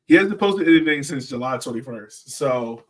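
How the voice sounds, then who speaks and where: A man's voice: mid-range voice, animated, moderate pace, distant mic. A man co-hosting a sports podcast, chatting casually and telling stories in slangy, acted-out quotes. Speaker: podcast co-host.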